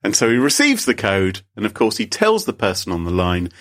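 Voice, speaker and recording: A man's voice: fairly low pitch, wildly swooping pitch, a little fast, fairly bright tone. A British man co-hosting a podcast, telling a story in a theatrical, teasing way. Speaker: podcast co-host.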